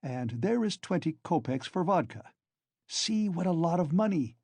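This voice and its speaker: A feverish, bitter young man, as a male narrator puts him on, his voice pitched up and swinging widely as he taunts. The tone stays mellow and a little husky, close and dry, with a storybook reading's restraint. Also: very animated, moderate pace, no echo, heavily acted. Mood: feverish mocking. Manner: mocking, then pleading in a frenzy.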